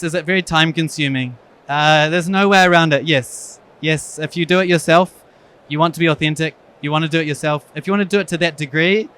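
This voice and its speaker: A man's voice: a little high, a bit bright, clean tone. Man with a New Zealand accent. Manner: frank, self-deprecating, wry humor. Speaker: eye surgeon giving a conference talk. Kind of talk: conference presentation.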